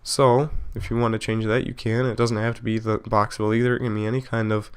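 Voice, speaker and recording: A man narrating a software tutorial casually, explaining step by step in an informal way and correcting himself as he goes. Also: on the low side, flat delivery, fast talker, clean tone. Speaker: video tutorial host.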